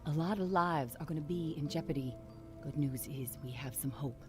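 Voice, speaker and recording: An actress playing a businesslike, secretive resistance organizer in a video game, delivering a message in a direct, purposeful way. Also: female voice, low-pitched, moderate pace, music in the background, natural voice. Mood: urgent, hopeful. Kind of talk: dramatic video game acting, spoken message.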